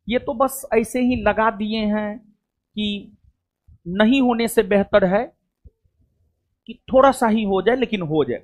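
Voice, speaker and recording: A man speaks to a coaching class. His talk is loose and chatty. He keeps circling back with little check-ins to the students. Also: high-pitched. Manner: chatty, rambling, repeats "theek hai". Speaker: coaching teacher.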